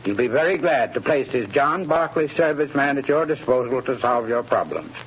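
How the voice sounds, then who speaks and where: A man's voice: medium pitch, lively intonation, brisk, slight background noise. A man reading a scripted sponsor's message on a 1930s radio show in an earnest, friendly salesman's delivery. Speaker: sponsor's spokesman. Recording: muffled 1930s radio broadcast.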